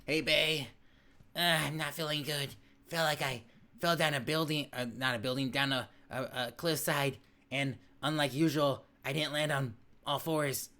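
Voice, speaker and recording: A man reading the lines of a weary, queasy young female cat from a video game, speaking slowly and tiredly. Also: fairly high pitch, steady tone, pushed and bright. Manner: slow, tired, drawn-out reading. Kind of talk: reading game dialogue aloud.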